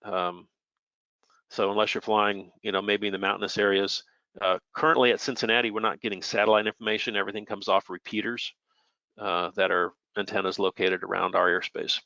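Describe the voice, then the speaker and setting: A man's voice: on the low side, little pitch movement, a little fast, creaky, bright, forceful tone, dry recording, highs cut off. A plainspoken, matter-of-fact man giving an informal technical explanation as an expert air traffic controller.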